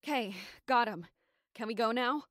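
A woman's voice: a little high, moderate pace, a bit bright, no echo, lightly acted. An actress playing a tense, protective young female thief in an audio drama, speaking with urgency. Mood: impatient. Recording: music bed behind dialogue.

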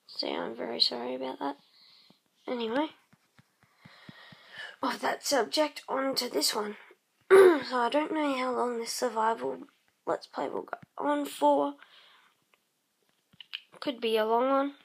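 A woman casually narrating her game session, croaky and clearing her throat, rambling with many pauses and humming to herself. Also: sits high, rough recording. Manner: slow, rambling, many ums, hums tunes while playing. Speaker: let's play host. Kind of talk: casual gameplay commentary.

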